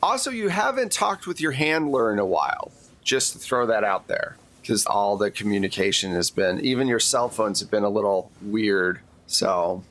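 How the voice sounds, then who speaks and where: Animated intonation, middle pitch, casual tabletop narration, game master. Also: moderate pace, few pauses.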